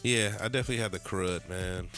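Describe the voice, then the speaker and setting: A man's voice: deep voice, fast talker, a bit bright, soft background music. A man co-hosting a casual podcast chat, laid-back and slangy, his voice still a little nasal from a cold. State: slightly nasal after a cold. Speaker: podcast co-host.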